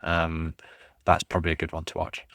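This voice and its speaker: A man fielding questions in a casual livestream, rambling with many ums and restarts in a low voice. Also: completely flat delivery, a little fast, vocal fry, fairly bright tone, dry recording, studio quality. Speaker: fleet commander answering questions.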